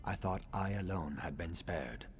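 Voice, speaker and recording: An actor playing a scholarly reptilian assassin in a video game, speaking in a measured, formal, dryly sardonic way. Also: male voice, sits low, soft background music. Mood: pensive. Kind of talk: video game dialogue acting.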